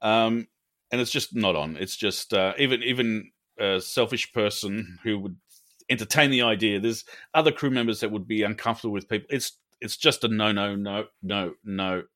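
Low-voiced man, regular podcast guest, earnest and a little halting. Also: bright, forceful tone. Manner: earnest, halting, repeats words. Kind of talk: casual chat.

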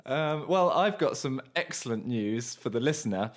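A man's voice: medium pitch, animated, normal speed. A man co-hosting a podcast in casual chat, speaking with a cold and sore throat, teasing dryly and chuckling often. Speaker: podcast co-host.

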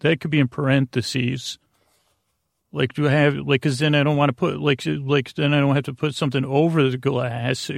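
A man hosting a bedtime podcast in a creaky, lulling voice, rambling and stammering as he wanders through halting, self-interrupted sentences. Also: mid-range voice, no echo, clean studio sound. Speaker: podcast host.

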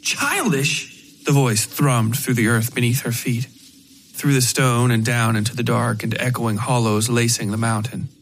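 A man narrating a scripted dark fiction story for a podcast. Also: mid-range voice, level tone, moderate pace, slight background noise, poor audio.

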